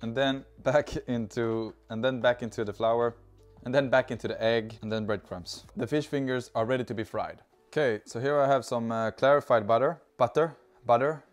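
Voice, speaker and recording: A man in his thirties with a Swedish accent talks his way through a recipe in a home kitchen, chatty and cheerful. His voice sits at an ordinary pitch, close on a clip-on mic. Soft music plays faintly underneath. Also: fairly even intonation, normal speed. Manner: chatty, cheerful, talks while working with food. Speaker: cooking video host. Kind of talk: casual cooking demonstration. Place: home kitchen with open garden door. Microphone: lapel mic.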